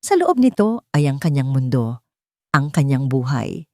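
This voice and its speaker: A woman's voice: extremely low pitch, sing-song, measured pace, dark tone, dry recording, studio quality. A woman reading a scripted dramatic story as its narrator.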